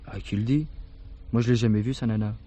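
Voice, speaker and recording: A cheeky working-class teenage lad from a radio play, played by a man. He rattles along very quickly in slangy, insolent phrases, always defensive and offhand. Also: lowish voice, steady tone, noisy background, close to an everyday voice. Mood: offhand, grudging. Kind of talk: French radio drama dialogue.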